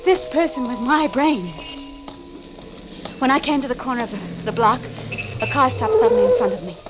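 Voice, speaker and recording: A woman's voice: sits high, big pitch swings, moderate pace. An actress playing a distraught, insistent wife who has lost her identity, in melodramatic 1940s radio mystery style. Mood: haunted. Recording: muffled old 1940s radio recording, organ music.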